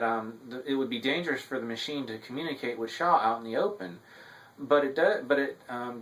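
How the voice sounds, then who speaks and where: Male reviewer, mid-pitched voice, semi-scripted commentary, hesitant delivery with frequent filled pauses. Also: a bit bright, low-quality recording. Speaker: TV episode reviewer.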